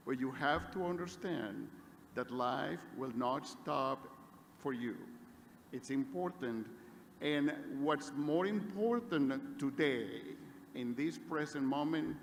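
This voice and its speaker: Slow non-native man in echoey hall. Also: on the high side. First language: not English. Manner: slow, emphatic, building repeated phrases. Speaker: honorary degree recipient. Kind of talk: commencement speech. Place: large hall. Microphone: podium mic. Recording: echoey hall, faint noise.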